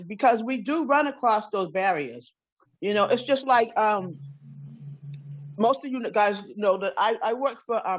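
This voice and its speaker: A woman's voice: medium pitch, muffled recording. A woman who works in a group home, speaking on an online call. She talks conversationally, asks questions and repeats words.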